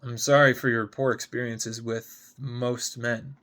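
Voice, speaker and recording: A man plays a soft-spoken man in his late twenties in a drama reading. He is mild-mannered and earnest, a gentle flirt with a smooth line. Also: medium pitch, level tone, a little slow, lightly acted. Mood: flirtatious, earnest.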